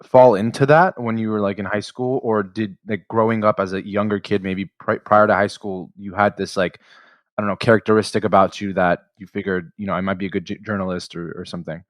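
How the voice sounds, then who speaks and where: A man's voice: on the low side, steady tone, fairly quick, no echo. A man co-hosting a podcast, reading the intro and asking loose, rambling questions. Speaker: podcast co-host.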